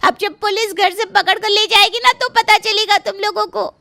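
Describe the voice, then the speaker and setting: A woman's voice: very high voice, steady tone, moderate pace, creaky, pushed and bright, full character voice. A woman playing a hurt, defiant young unwed mother-to-be in a dramatized moral story. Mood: angry, threatening.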